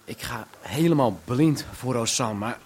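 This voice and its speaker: An actor playing a sullen, easily led young gangster's son in a Dutch radio drama, hesitant and grumbling. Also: male voice, medium pitch, expressive, a little slow, a little mellow, natural voice. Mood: wavering.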